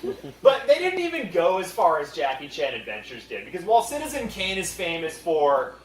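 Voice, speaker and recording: Male panel comic, fairly high voice with big pitch swings, mock-serious delivery, distant mic. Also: wildly swooping pitch, fairly quick, slight background noise. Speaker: convention panel host. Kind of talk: comedic panel presentation. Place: convention panel room. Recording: echoey room, far from the mic.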